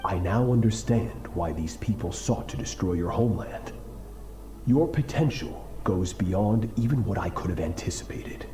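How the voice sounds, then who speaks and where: A merciless master swordsman from a fantasy game, low and dark in tone. He speaks slowly and solemnly, giving stern commands with cold authority, and his words ring in a roomy echo over music. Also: lively intonation. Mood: cold, commanding. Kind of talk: dramatic video game voice acting.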